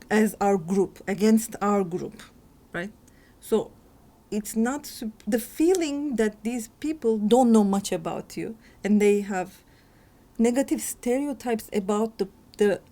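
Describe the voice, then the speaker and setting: Reflective woman historian, mid-pitched voice. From Turkey. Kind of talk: documentary interview.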